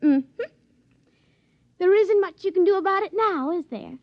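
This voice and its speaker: A woman's voice: extremely high pitch, animated, measured pace, dry recording. Folksy, lovestruck young country woman, dry sound. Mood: sweetly assured. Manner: rustic, folksy ungrammatical speech. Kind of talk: 1950s radio drama acting. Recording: muffled vintage radio recording.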